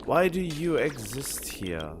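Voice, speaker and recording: A man's voice: medium pitch, very animated, slow pace, background noise. A man livestreaming a game, chatting casually and muttering his thoughts aloud to himself. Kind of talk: casual solo stream chat while playing a game.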